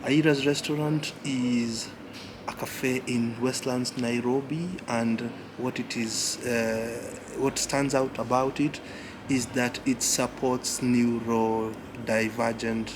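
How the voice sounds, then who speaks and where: A man's voice: medium pitch, flat delivery, slow pace, background noise. A man with a Kenyan accent, chatting casually and teaching slang, often repeating "yeah". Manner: laid-back, repeats words, lots of "yeah". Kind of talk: casual chat. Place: cafe at night.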